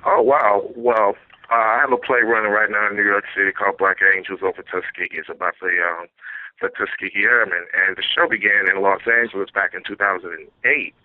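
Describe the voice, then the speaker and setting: Male interview guest, Louisiana accent, lowish pitch, conversational, dry phone-quality sound. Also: fairly even intonation, brisk, no room sound. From Louisiana, United States. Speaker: interview guest, theater producer and playwright. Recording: thin phone-like line.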